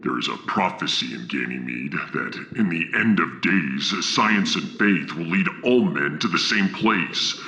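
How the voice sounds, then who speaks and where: An actor playing a solemn, fierce warrior from a harsh moon, with a gravelly voice and grave, proud, formal speech in a science fiction audio drama. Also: male voice, very deep voice, expressive, a little slow, hardly any pauses, bright, forceful tone, exaggerated voice. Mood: reverent.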